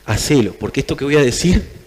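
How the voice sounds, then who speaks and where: A man's voice: mid-range voice, animated, fairly quick, breathy edge. A man with an Argentine accent preaching a sermon in an emphatic manner, repeating phrases for effect. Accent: Argentine Spanish. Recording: long hall reverb.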